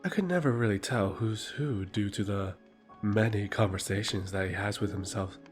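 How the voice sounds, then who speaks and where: A man's voice: fairly low pitch, normal speed, faint music behind, natural voice. A man playing a sly, condescending royal emissary in a fantasy audio drama, speaking with silky, mocking politeness. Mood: insinuating.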